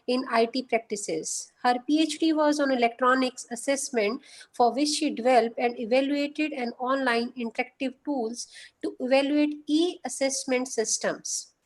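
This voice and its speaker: A high-voiced woman hosts an online workshop over a video call. She reads a formal introduction of the guest at a steady pace. She makes small slips along the way. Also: moderate pace, rough recording. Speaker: workshop host. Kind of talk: reading a speaker introduction.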